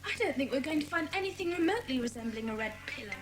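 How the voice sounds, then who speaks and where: A woman's voice: sits high, lively intonation, racing, music in the background. A woman playing a chatty, doubting little schoolgirl in a dramatised children's audiobook. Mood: doubtful. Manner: questioning, literal-minded.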